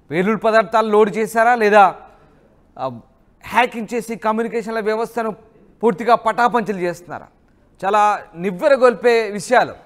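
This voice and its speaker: Guy doing news commentary, pretty high voice, urgent n dramatic. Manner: dramatic, urgent, repeats rhetorical questions. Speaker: news anchor. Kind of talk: live news commentary over footage.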